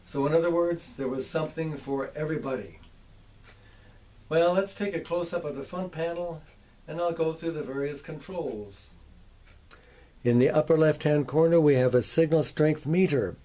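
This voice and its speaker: An older American man with a fairly high but soft, mellow voice. He presents in a folksy, measured way, speaking straight to his viewers as a hobbyist showing off his gear. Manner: measured, addresses viewers directly with rhetorical questions. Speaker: presenter, antique radio hobbyist. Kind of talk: folksy show-and-tell presentation to camera. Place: home workshop.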